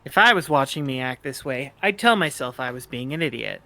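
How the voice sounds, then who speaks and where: Casting: awkward teenage bird-boy sprite played by an amateur reader, defensive and stammering, forceful bright voice, close dry sound. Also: mid-range voice, animated, a little fast, dry recording, pitched below the performer's own voice. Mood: sulky. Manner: stammering, exasperated, swearing. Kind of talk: amateur dramatic fan fiction read-aloud.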